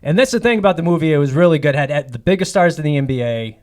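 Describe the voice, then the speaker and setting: A man in his forties hosts a casual comedy podcast chat, his voice sitting a little high for a man. He rambles, restarts his sentences and pokes fun at himself as he goes. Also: animated, rapid-fire. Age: 40s. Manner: rambling and self-deprecating, many fillers and restarts. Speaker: podcast host.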